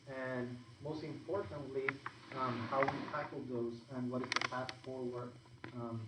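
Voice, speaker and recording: A man with a Puerto Rican accent moderating a panel, talking to a full room in an unhurried way with pauses between phrases. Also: mid-range voice, recorded from a distance, noisy background. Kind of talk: public talk to an audience. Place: large event room with an audience. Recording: echoey room recorded from afar.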